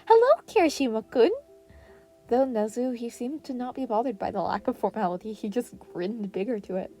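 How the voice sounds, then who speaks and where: Mellow-voiced woman narrating a story. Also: fairly high pitch, fairly even intonation, fairly quick, soft background music. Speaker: fan fiction podfic narrator.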